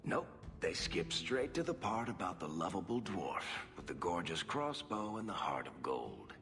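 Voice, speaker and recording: Deep, roguish dwarf storyteller. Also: male voice, big pitch swings, normal speed. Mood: playful boasting. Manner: wry, theatrical storytelling delivery. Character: roguish male dwarf storyteller, cocky, playful, fond of exaggeration. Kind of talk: video game voice acting. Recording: reverberant voice with loud music behind.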